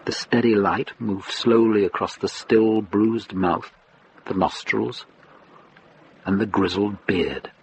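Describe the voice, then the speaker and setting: A man giving scripted dramatic narration in a measured, grave manner. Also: fairly low pitch, vocal fry, a little hiss or hum, rough recording.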